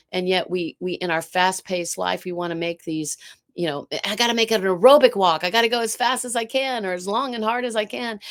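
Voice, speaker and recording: A woman with a low alto voice telling stories in a casual interview. She talks with animation, stumbling and restarting as her ideas run ahead, and her pitch sits on the low side for a woman. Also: creaky. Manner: animated, storytelling, frequent restarts. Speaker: guest, art teacher. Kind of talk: casual conversation.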